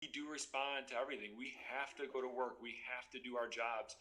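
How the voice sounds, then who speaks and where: Bright, forceful male police trooper. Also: medium pitch, fairly even intonation, fast talker, poor audio. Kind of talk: interview explanation.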